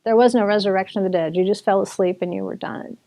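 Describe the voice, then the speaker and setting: Mellow-voiced woman teaching in easy chat. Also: medium pitch, fairly even intonation, fast talker. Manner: chatty, with restarts and occasional laughter. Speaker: author and Bible teacher. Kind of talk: relaxed conversational teaching.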